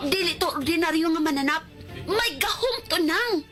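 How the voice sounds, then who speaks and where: Very high-pitched, excitable young woman. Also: moderate pace. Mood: uneasy, amazed. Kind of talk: Philippine radio drama acting. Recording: echoey, distant, under loud music.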